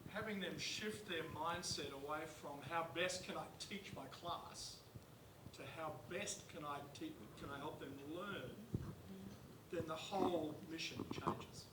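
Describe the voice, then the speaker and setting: A man in an auditorium audience speaking loudly and deliberately, sharing a view. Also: a little high, very noisy. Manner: loud, measured, deliberate. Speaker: audience member. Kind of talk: audience comment. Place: large auditorium. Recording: roomy, distant hall pickup.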